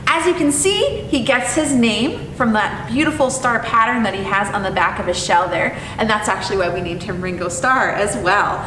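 A young woman presenting as a zoo educator and reading to children in an expressive, animated way. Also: moderate pace, few pauses. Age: young adult. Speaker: zoo educator and storytime host. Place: indoor room with painted animal mural. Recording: echoey, distant mic with clear rumbling noise.

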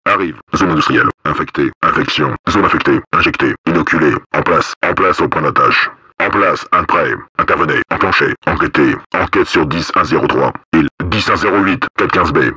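Cold paramilitary cop, forceful bright edge, dry close sound, terse orders, radio-filtered, video game. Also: male voice, very deep voice, moderate pace, breathy edge, no echo, exaggerated voice. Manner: terse, commanding, coded military phrases. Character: stern, cold masked paramilitary police officer. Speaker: police officer on radio.

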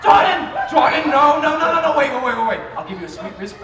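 A man's voice: very high-pitched, sing-song, fast talker, heavy background noise, heavily acted. A man playing a cackling sinister game-show host. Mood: gleeful, mocking. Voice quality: theatrical, sing-song, cackling. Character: sinister, gleeful male game-show host toying with contestants. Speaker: master of ceremonies at a live scare show. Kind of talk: live stage performance. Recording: echoey hall from far off, with loud music and crowd.

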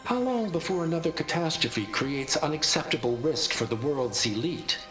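An echoey, animated male corporate pitchman in a video game recording. He sells a grand vision with polished, persuasive swings of pitch over prominent music. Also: normal speed. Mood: persuasive, urgent. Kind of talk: scripted promotional presentation, video game acting. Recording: echoey projected playback with music.

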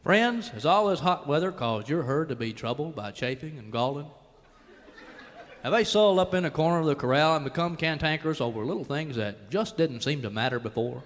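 A man's voice: fairly high pitch, expressive, fairly quick, in a large hall, natural voice. An actor playing an earnest, folksy cowboy spokesman in a parody old-time radio commercial. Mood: earnest selling.

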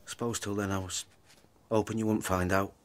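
An actor in a radio drama playing an apologetic, evasive estranged husband, pleading hesitantly and repeating himself. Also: male voice, fairly low pitch, level tone, a little fast, natural voice. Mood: sheepish, defensive.

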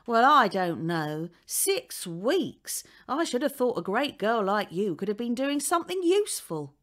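A woman's voice: on the high side, sing-song, measured pace, a bit bright, voice pushed up. A woman voicing a sour, scolding landlady with an indignant, disapproving manner in a children's story.

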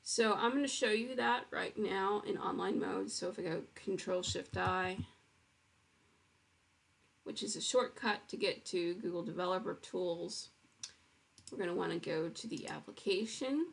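A woman's voice: fairly low pitch, measured pace, vocal fry, breathy edge. A woman walking through her own web project in a casual screen-recorded demo, explaining with frequent ums and restarts.

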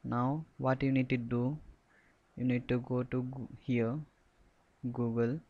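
A man giving an unscripted step-by-step software tutorial in a halting, repetitive, instructional way. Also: mid-range voice, little pitch movement, phone-quality sound.

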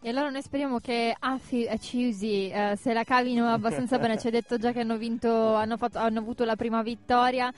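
A woman's voice: a little high, steady tone, fast, hardly any pauses. A woman hosting a live radio interview. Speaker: radio host. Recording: music bed under voice. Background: background music.

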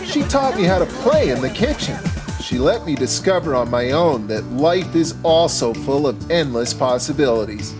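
Lively, animated male cooking show host. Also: a little high, moderate pace. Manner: enthusiastic, playful, talks straight to camera. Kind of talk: scripted cooking show presenting. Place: home kitchen set and grocery store. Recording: music bed behind voice. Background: background music.

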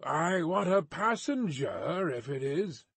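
Storybook rider, voiced by a male reader: hoarse, blunt, dogged, raised pitch, drawn-out calls. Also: very animated, fairly bright tone, no room sound, heavily acted. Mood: blunt, insistent. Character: hoarse horseback messenger shouting through mist, blunt and dogged.

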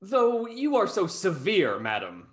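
A man's voice: high-pitched, huge pitch swings, a little slow, exaggerated voice. A man playing a snarky, self-important society gossip, arch and pompous, in a Restoration comedy reading. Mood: arch, gossipy. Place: small indoor room on a video call. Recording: echoey room over video call.

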